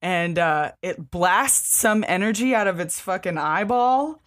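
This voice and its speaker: A woman's voice: lowish voice, expressive, unhurried, a bit bright. A woman co-hosting a podcast, chatting casually and enthusiastically about a comic, with loose, sweary phrasing. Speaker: podcast co-host. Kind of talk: casual comic book discussion.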